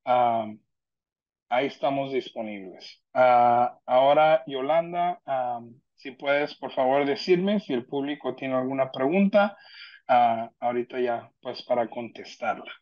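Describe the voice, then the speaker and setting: A man's voice: medium pitch, unhurried. Guy explaining stuff on a video call, chatty n a bit hesitant, kinda muffled sound. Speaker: immigration legal representative giving an online presentation. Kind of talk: informal explanatory presentation. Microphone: video call computer microphone. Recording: dull lo-fi video call audio.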